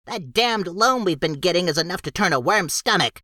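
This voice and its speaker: A man's voice: high-pitched, animated, moderate pace, sharp tone, no echo, clean studio sound, pitched above the performer's own voice. A man voicing a small, put-upon male gnome servant who complains plaintively in an audiobook. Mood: plaintive grumbling. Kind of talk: audiobook character voice.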